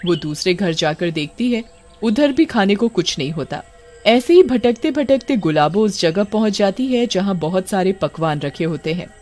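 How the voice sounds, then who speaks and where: A narrator telling a cartoon folk tale in a steady, matter-of-fact storybook manner. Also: mid-range voice, normal speed, soft background music.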